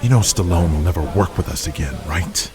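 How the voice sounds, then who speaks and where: A man's voice: low voice, animated, fairly quick, crowd noise, voice pushed down. A man voicing a stern, cynical wrestling promoter in an audio drama, speaking in a curt, accusatory manner. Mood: stern, accusing.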